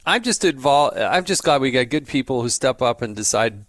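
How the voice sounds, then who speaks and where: Halting male interviewer, dry sound. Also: mid-range voice, animated, no room sound. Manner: halting, repeats words, warm questions. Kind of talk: conversational interview.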